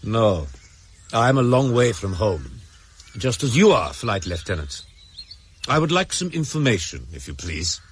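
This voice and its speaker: An actor playing a menacing foreign agent in a radio drama, deliberate and coldly courteous. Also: male voice, lowish voice, sing-song, background noise. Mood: cold menace.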